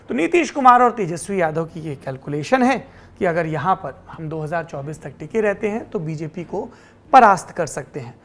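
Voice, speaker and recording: A woman presenting explanatory political news commentary as a news presenter. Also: lowish voice.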